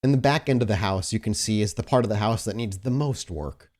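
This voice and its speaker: Low-voiced man narrating a tabletop game. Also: a little fast, darkish tone. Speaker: game master. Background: faint music.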